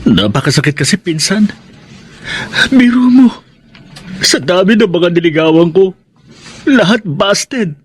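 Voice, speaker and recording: An actor with a Filipino accent playing a self-pitying homely man, unlucky in love, husky, in a radio drama. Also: sits high, expressive, measured pace, pauses often, heavily acted. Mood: self-pitying, hurt.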